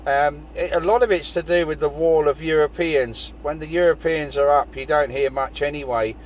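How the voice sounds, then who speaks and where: A man's voice: a little high, fairly even intonation, moderate pace, lo-fi sound. A man with a British accent talks in a rambling, conversational way, as an amateur radio operator in a casual chat. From United Kingdom. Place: in a parked vehicle outdoors. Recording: clear vehicle and outdoor background noise.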